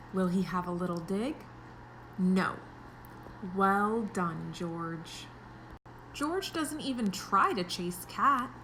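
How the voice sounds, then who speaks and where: A woman's voice: mid-range voice, fairly bright tone, a little hiss or hum, low-quality recording. A woman teaching pre-kindergarten children, reading a story aloud expressively and explaining it in simple words.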